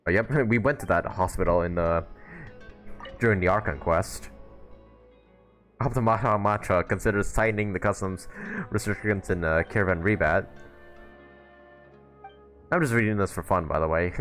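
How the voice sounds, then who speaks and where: A man's voice: deep voice, normal speed, long pauses, fairly bright tone. A man doing informal live gameplay commentary as a streamer, with wry, sometimes sweary asides. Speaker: game livestreamer. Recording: faint game music behind voice. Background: faint game music.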